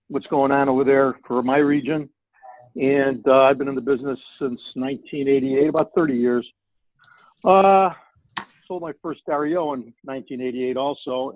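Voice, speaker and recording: An older man talks casually, like an agent catching up with peers. His medium-pitched voice comes through a thin, tinny phone line, very dry and close. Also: no echo. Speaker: real estate agent. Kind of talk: casual chat on a call.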